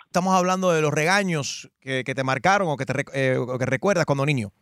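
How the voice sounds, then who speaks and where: A man's voice: fairly high pitch, quick pace, fairly bright tone, dry recording, studio quality. A man hosting a morning radio call-in show, joking around and slipping English phrases into his Spanish. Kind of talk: casual morning radio chat with callers.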